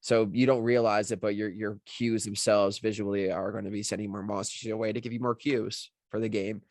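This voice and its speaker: A man speaking as a scriptwriter in a casual online discussion, explaining his work haltingly and repeating words as he goes. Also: on the low side, little pitch movement, darkish tone, no room sound, studio quality. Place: online video call.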